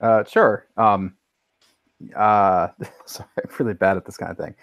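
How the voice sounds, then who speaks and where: A man's voice: on the low side, fairly even intonation, a little slow, no echo. Agreeable man, dry close sound. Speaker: podcast panelist. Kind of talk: casual chat.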